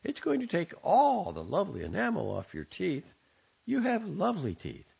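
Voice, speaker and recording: A nagging, fretful middle-aged mother with a raised, swooping voice, as a male reader puts her on. She scolds in a husky, slightly gravelly tone that leaps up high. The recording sounds dull and lo-fi. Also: wildly swooping pitch, normal speed, darkish tone, full character voice. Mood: scolding. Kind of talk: audiobook character voice.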